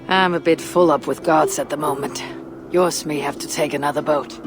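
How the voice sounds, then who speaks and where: A woman voicing a stoic, weary Viking warrior in a video game, with a low husky voice and a measured, wry delivery. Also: fairly even intonation, music in the background, close to an everyday voice. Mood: dryly wry. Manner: measured, solemn, dryly wry. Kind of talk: cinematic video game acting.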